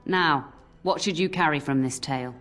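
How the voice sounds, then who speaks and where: Elderly nanny, low female voice, scolding yet fond, storyteller, video game, faint music behind. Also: normal speed, fairly bright tone. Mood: gently admonishing. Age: older. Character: old nanny, scolding but fond, telling cautionary tales. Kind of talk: scripted game voice acting, storytelling. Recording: music under the voice.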